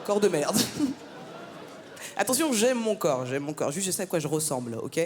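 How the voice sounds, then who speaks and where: A woman's voice: sits low, big pitch swings, normal speed, noisy background. A woman from France performing a stand-up comedy set on a theatre stage, teasing the crowd with punchy banter. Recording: live gala, long hall reverb.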